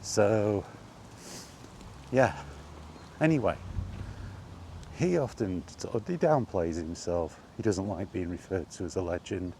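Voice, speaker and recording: A middle-aged man in a casual, rambling vlog chat, speaking conversationally with halting pauses while out walking. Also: low voice, a little mellow. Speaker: gaming vlogger. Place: outdoors, walking. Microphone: microphone with wind guard. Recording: windy outdoor recording, background noise.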